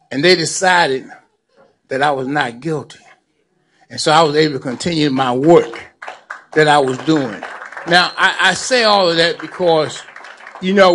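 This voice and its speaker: A man's voice: on the high side, fairly bright tone. A man in his seventies giving a speech to a gathering, preacherly and repeating phrases for emphasis. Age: 70s. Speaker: lawyer and community activist.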